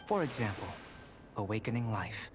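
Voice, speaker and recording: Guy doing a calm brainy young alchemist game character, polite n deliberate, music under it. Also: lowish voice, natural voice. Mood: composed, thoughtful. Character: calm, scholarly young male alchemist researcher. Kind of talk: video game voice acting.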